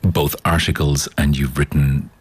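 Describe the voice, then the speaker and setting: A man interviewing a novelist, asking questions with halting, stumbling phrasing. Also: very deep voice, little pitch movement, husky.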